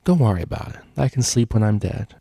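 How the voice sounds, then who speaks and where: A man's voice: lowish voice, animated, fast, creaky, warm tone, slightly rough, no room sound, full character voice. A sleepy storybook mare, acted by a man, speaks wearily and bluntly. She is a grumpy but kind shopkeeper. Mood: tired. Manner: weary, blunt.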